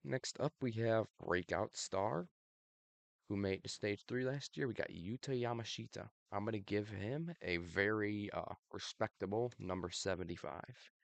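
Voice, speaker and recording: A young man with a very low voice and a gravelly fry talks through his predictions in a loose, offhand way, filling pauses with ums. His voice is a little raspy from allergies. The recording is dry and close, with no room sound. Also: no room sound. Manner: relaxed, many ums, laughs at himself. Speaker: video host. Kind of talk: casual predictions commentary.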